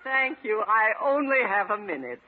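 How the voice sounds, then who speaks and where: An actress playing a brisk, refined society club woman in a 1950s radio comedy, formal, precise and politely condescending. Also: medium pitch, very animated, slight background noise, lightly acted. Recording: muffled, tinny 1950s radio recording.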